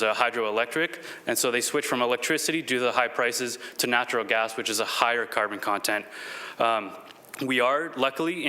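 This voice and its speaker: A man giving a formal presentation to a city council as a staff coordinator, polite and a little halting. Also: medium pitch, pushed and bright.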